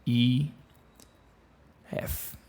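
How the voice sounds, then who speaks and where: A man in his thirties from Argentina, with an Argentine accent, giving a blunt, animated guitar lesson on a live stream from a small home room into a close stand mic. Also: drawn-out words. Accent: Argentine Spanish. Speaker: guitar teacher. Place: small room with bookshelves, indoors. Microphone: studio mic on stand, close.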